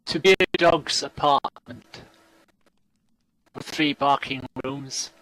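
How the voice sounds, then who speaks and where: A man performing a poetry reading in deliberate short phrases with long pauses. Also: medium pitch, pushed and bright, rough recording.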